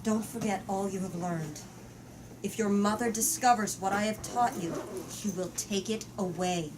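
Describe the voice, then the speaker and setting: A woman reading as a gentle aunt, speaking slowly and hushed, recorded with noticeable room noise. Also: medium pitch, far from the mic, lightly acted. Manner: slow, hushed. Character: gentle aunt, parting warning.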